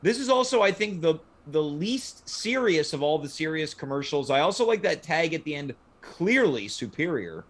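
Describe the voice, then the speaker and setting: A man's voice: on the high side, very animated, moderate pace, few pauses, a bit bright. A man hosting a casual panel chat. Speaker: talk show host.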